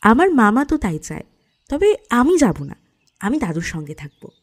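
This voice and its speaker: A woman's voice: a little high, very animated, moderate pace. A woman playing a feisty, playful teenage schoolgirl in an audio love story, bantering with spirit. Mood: playful, casual. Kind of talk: Bengali audio story acting.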